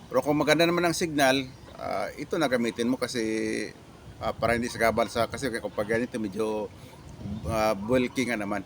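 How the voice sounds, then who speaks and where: A Filipino man giving a casual product demo as a reviewer, talking in a halting, repetitive way with catchphrases. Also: medium pitch, a little hiss or hum.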